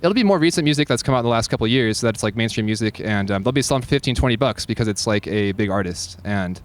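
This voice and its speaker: A man's voice: on the low side, animated, quick pace. A man chatting casually in an interview, earnest and informal, thinking aloud as he goes. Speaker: cassette label owner and musician, interview guest.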